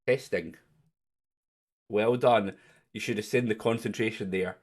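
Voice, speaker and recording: A man hosting a livestreamed quiz in a chatty, teasing way. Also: unhurried. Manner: teasing, chatty, lively jumps in pitch. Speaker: quiz host. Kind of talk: casual livestream chat.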